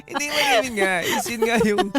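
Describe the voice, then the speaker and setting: Man, Filipino accent, high voice, very lively intonation, bantering, agreeable, casual co-host. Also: moderate pace, gravelly. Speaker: talk show co-host. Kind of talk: casual chat, reading listener comments.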